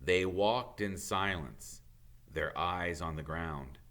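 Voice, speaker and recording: Male teacher reading a story out loud, dramatic, lowish forceful voice. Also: slow pace.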